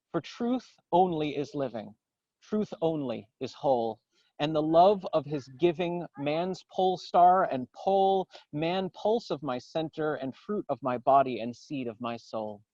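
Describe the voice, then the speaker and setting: A man gives a dramatic poetry recitation outdoors, in solemn, declamatory, measured phrases. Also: a little high, a little mellow, no echo, lo-fi sound. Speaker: poetry reader. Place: outdoors in a garden.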